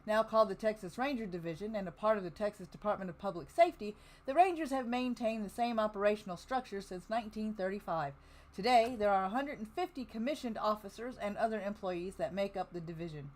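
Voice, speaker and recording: A woman's voice: mid-range voice, fast talker, a little mellow. A woman hosting a show casually and reading notes aloud. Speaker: host of an old-time radio listening show.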